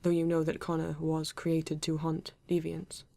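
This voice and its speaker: A woman's voice: low voice, monotone, vocal fry, mellow, no room sound. A woman playing a male android police detective with a British accent, formal, precise and proud yet earnest, in an ASMR roleplay. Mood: grave, measured. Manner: formal, precise, measured, avoids contractions.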